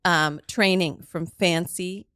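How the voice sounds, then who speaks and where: A woman's voice: fairly low pitch, steady tone, slow pace, bright, forceful tone, clean tone, no room sound, studio quality. A woman chatting casually as a podcast guest, with a hesitant, self-deprecating way of talking. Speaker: guest, mime learning improv. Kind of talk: casual chat.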